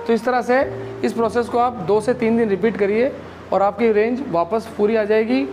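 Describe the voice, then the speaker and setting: A man in his thirties, a physiotherapist, calmly explaining exercises step by step in a clinic room, on a lapel mic. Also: high voice, normal speed, a little hiss or hum. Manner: calm, instructive, explains step by step.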